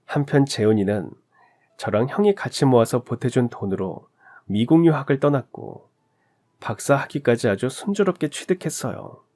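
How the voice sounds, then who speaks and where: Calm man reading a heartfelt story. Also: medium pitch, normal speed. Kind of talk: scripted narration of a listener's story.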